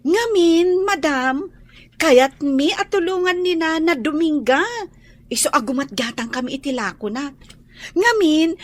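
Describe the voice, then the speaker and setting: A woman's voice: high-pitched, measured pace. A woman with a Filipino accent playing a sassy, stubborn young housemaid in an Ilocano radio drama, cheeky and exaggerated, drawing out her words. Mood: cheeky, placating. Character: sassy, stubborn young female housemaid who talks back.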